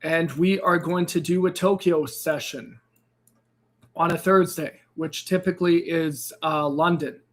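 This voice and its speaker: A man with a fairly high, slightly raspy voice, still a little hoarse from losing it. He hosts a live trading stream in a loose, upbeat way, greeting viewers by name and filling gaps with "uh" and "um". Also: steady tone, a little slow, fairly bright tone, a little hiss or hum. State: slightly hoarse, recovering from a lost voice. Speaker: crypto trading livestream host. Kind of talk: casual livestream chat.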